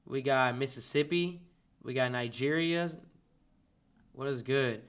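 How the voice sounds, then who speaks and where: A man's voice: medium pitch, measured pace, a bit bright, smooth. A man from Kansas City, coaching on a casual livestream and greeting his chat viewers by name. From Kansas City, Missouri, US. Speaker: dropshipping coach hosting a livestream.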